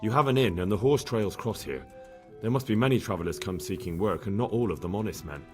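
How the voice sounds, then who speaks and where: An actor playing an earnest, inquisitive young blacksmith's son in a medieval video game, asking polite, direct questions. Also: male voice, fairly low pitch, fast, over music, close to an everyday voice. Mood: probing. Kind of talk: video game dialogue acting.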